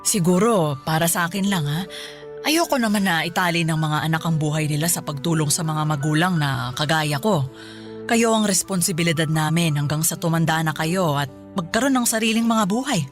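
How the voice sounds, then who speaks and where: An actress playing a kindly middle-aged mother in a radio drama, with a Filipino accent and a gentle, reflective, measured way of talking. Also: deep voice, faint music behind, natural voice. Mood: earnest, reassuring. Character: kindly, reassuring mother of a student son.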